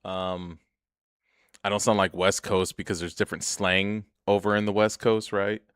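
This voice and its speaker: A man's voice: low-pitched, flat delivery, normal speed, a bit bright, smooth, dry recording, studio quality. A young man streaming a game, rambling and swearing as he chats to viewers about himself. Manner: rambling, profane, chatty, often laughs. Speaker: gaming streamer. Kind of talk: casual gameplay commentary.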